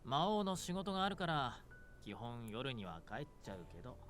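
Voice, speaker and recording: A man's voice: medium pitch, big pitch swings, fast, a bit bright, faint music behind. A voice actor playing an easygoing, teasing demon king in a Japanese visual novel, with a breezy, casual manner.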